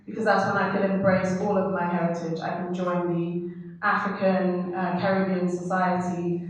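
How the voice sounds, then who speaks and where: A woman's voice: on the low side, little pitch movement, normal speed. A woman from Trinidad with a Trinidadian accent, speaking as a panellist in a reflective, conversational way with fillers. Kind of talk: panel discussion. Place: university hall. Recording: echoey hall, speaker far from mic.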